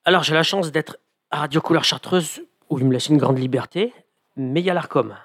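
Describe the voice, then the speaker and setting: A man's voice: on the high side, brisk, a bit bright, slightly rough. A man who writes comedy columns for the radio, chatting in an interview with plenty of hesitations and fillers. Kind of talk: casual radio interview chat.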